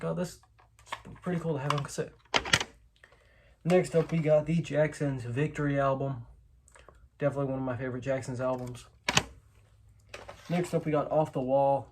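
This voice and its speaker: A man hosting an informal, unscripted show-and-tell of a collection, hedging and correcting himself as he goes. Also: mid-range voice. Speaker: host, collector showing their collection. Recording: clear background noise with clicks and clatter.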